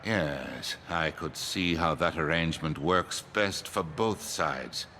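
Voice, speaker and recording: An actor playing a sour, officious political advisor in a video game, pointed and disapproving. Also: male voice, rumbling low, takes it slowly, sharp tone, slight background noise, heavily acted. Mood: curtly sarcastic. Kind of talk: video game dialogue acting.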